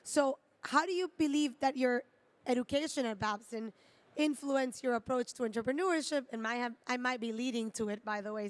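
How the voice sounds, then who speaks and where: A woman with a somewhat high voice moderates a fireside chat. She explains in measured, conversational phrases with small pauses. The sound is dry, with hardly any room echo. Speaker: interviewer from a college.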